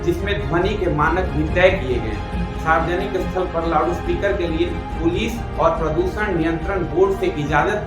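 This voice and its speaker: Male news commentary narrator, higher voice, formal and emphatic delivery, music underneath. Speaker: presenter. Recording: roomy echo with music behind. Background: background music.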